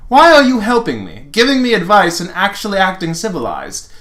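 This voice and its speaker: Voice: medium pitch, wildly swooping pitch, a little fast, fairly bright tone, pitched above the performer's own voice. A reader doing a haughty, sneering alien prince. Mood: suspicious. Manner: sneering, disdainful.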